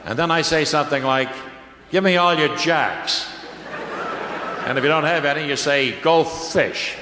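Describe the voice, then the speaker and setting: An actor playing a naive, self-important contestant, plain-spoken and earnest, in an old-time radio comedy. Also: male voice, fairly high pitch, measured pace, loud music behind the voice, lightly acted. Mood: earnest, boastful. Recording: echoey, noisy old radio recording.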